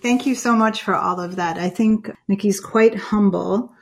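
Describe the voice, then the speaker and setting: Creaky-voiced woman podcast host. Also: medium pitch, normal speed. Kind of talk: scripted intro and casual interviewing.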